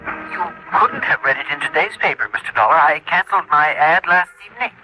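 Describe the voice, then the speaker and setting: An actor playing a fussy, reluctant car owner on the telephone in an old radio drama, prim and hesitant, through a phone filter. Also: male voice, a little high, fairly quick, bright, forceful tone, soft background music, rough recording. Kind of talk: 1950s radio drama acting.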